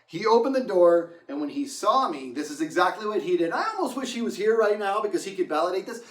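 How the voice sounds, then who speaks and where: A man telling a casual anecdote in a theatrical, animated way, acting out reactions as he goes. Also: sits high, fast talker, distant mic. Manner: theatrical, acts out quotes and reactions. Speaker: storyteller, host of the show. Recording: roomy echo, off the mic.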